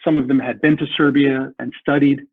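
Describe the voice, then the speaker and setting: A man's voice: mid-range voice, little pitch movement, a little fast, breathy edge, no echo. A man explaining history earnestly as a podcast guest, often repeating words as he thinks. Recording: tinny phone-like line.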